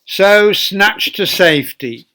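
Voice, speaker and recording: A man reading a Bible story aloud to children as a church storyteller, speaking deliberately and putting questions to his young listeners. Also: sits high, expressive, bright, forceful tone.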